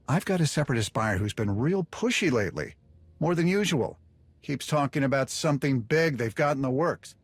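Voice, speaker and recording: Game role: self-serving smuggler, glib and jittery, very animated delivery, slightly husky. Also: male voice, fairly high pitch, no echo, full character voice. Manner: glib, wheedling, stammers when cornered. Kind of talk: video game voice acting.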